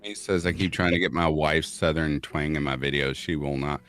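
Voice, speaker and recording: A man's voice: a bass voice, flat delivery, moderate pace, a bit bright, clear, resonant tone, soft background music. A man from Kentucky in casual chat, reading out viewer messages and laughing easily. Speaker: stream guest reading chat.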